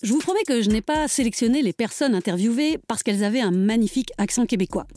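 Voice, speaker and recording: Higher-voiced Parisian woman narrating. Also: quick pace, warm tone, no room sound, faint music behind. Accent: Parisian French. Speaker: podcast host and narrator. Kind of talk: scripted narration.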